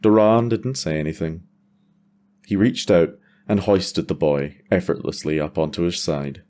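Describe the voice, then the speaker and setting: A man's voice: low-pitched, unhurried, darkish tone. A man delivering scripted, cinematic narration for a fantasy audio drama.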